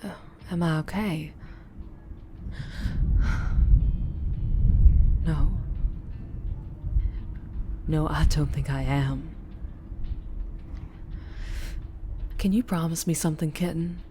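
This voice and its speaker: A low-pitched Southern vampire woman, acted by a female performer speaks in a soft, intimate ASMR roleplay. She is flirtatious yet guarded and troubled, with a protective streak and a halting delivery. Faint music plays behind her. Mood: uneasy, melancholy.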